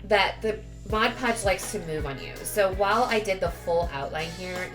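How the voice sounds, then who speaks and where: A woman giving a casual, chatty craft tutorial voice-over, sounding sniffly with a cold and often correcting herself. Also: sits low. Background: background music.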